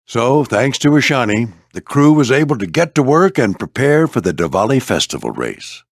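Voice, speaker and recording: An older man voicing a veteran warplane skipper in a video game, a gruff, fatherly flight instructor with folksy, military phrasing. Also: medium pitch, very animated, moderate pace, no echo, studio quality. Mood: storytelling. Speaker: squadron skipper and mentor. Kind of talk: animated video game character voice lines.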